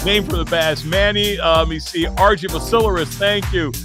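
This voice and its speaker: A man's voice: sits high, steady tone, a little fast. A man hosting a radio show and podcast, chatting casually in a jokey, exclamatory, effusive manner. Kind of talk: casual chat, show sign-off. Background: music.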